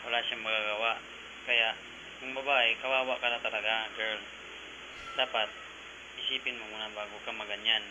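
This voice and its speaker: Male Filipino radio voice, lowish pitch, emphatic casual advice, clearly hissy recording. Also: level tone, normal speed, pauses often. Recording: noisy, hissy radio audio.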